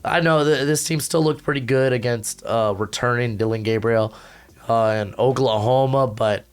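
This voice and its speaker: A hoarse young man hosting a casual college football rankings show from home, talking in an energetic, slangy way with lots of fillers. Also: medium pitch. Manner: energetic, slangy, rapid asides with frequent fillers. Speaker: college football analyst and channel host. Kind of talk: casual rankings commentary to camera. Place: home recording setup with a humidifier.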